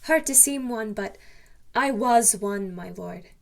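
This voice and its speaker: Casting: woman to play lovestruck young noblewoman in Shakespearean verse, slightly high voice, flustered and halting. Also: creaky.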